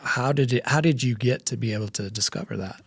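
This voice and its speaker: A man's voice: medium pitch, fast, gravelly, breathy edge, no echo, studio quality. A man hosting a podcast interview, asking supportive, probing questions. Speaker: podcast host.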